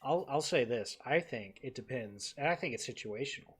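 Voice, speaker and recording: A young man from the Texas Panhandle, co-hosting a podcast in casual chat, halting and repeating his words with many fillers. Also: medium pitch, expressive, husky. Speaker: podcast co-host.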